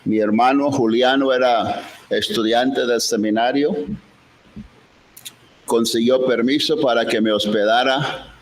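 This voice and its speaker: A man's voice: fairly low pitch, poor audio. An older man from the island of Providencia, whose first language is English, gives a chapel sermon in Spanish and tells his own life story in a reflective way. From Colombian island of Providencia. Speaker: visiting pastor. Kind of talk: chapel sermon with personal testimony.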